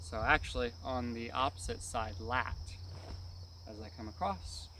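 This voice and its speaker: A man's voice: medium pitch, a little slow, background noise. A man giving hands-on martial arts instruction in short, clipped phrases, often adding brief check-in tags. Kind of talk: hands-on technique demonstration, instructional.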